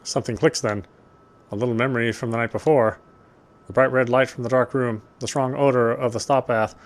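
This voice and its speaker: A man reading a visual novel's narration aloud in a measured, literary way, with small stumbles over the words. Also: medium pitch. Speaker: let's player reading game text.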